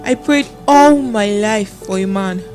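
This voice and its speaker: Woman acting loving Nigerian wife, radio drama, unhurried, dreamy, tender, music underneath. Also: medium pitch, a little mellow, close to an everyday voice. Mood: adoring. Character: dreamy, devoted wife in love. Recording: music behind the voice.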